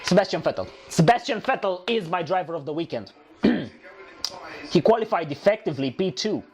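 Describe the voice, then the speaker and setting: A man reacting live to a motor race, excitable and repeating himself, his voice hoarse and nearly gone from shouting. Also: high voice, brisk, soft background music.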